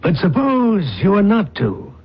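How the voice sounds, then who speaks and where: A man playing a stern, lordly ruler in a radio drama, with a husky voice and formal, imperious commands. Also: high-pitched, sing-song, a little slow, full character voice. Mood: commanding. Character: stern authoritarian male ruler, lordly, coldly controlled. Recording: dull old radio broadcast.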